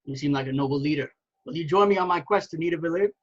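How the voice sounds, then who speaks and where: A man's voice: on the high side, rapid-fire, highs cut off, natural voice. A man playing a noble, condescending warrior god with pompous, formal speech in an amateur film table read. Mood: pompous, courteous.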